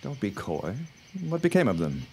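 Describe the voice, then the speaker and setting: An actor playing an earnest, trusting 1910s flower grower in a radio drama, with formal, old-fashioned phrasing. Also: male voice, low voice, wildly swooping pitch, normal speed, background noise, full character voice. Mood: inquisitive. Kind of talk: period radio drama acting.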